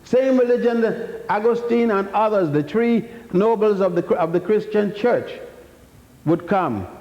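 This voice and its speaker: A man's voice: high voice, darkish tone. An older man from Ethiopia giving a public history lecture, blunt and defiant, rambling with many restarts. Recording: echoey hall, dull lo-fi sound.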